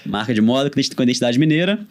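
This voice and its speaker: Friendly mineiro podcast host. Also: male voice, medium pitch, expressive, rapid-fire. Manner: friendly, laughs often, reads ad. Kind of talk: casual podcast chat.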